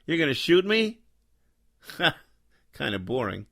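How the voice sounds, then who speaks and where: An actor playing a sardonic, deadpan noir detective who both narrates and talks in a radio drama. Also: male voice, medium pitch, slow pace, creaky, heavily acted. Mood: deadpan mockery. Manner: sardonic, deadpan, world-weary. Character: cynical wisecracking hardboiled male noir detective, Joe Smoke.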